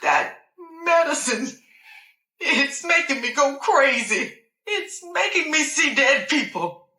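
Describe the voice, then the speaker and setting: A woman's voice: medium pitch, sing-song, moderate pace, sharp tone, reverberant. A woman voicing a volatile, furious woman who curses in explosive outbursts. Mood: tearful.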